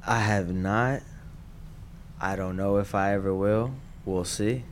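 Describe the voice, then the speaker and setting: A young man from Nashville, an amateur boxer, talking in a relaxed, halting way with lots of fillers in a casual interview. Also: fairly low pitch, slight background noise. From Nashville, Tennessee.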